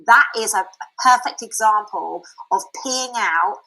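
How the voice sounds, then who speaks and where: Frank, bright-voiced woman. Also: mid-range voice, unhurried, rough recording. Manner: frank and chatty, with many fillers and restarts. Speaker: speaker sharing a product testimonial. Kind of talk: candid personal testimony and sales pitch.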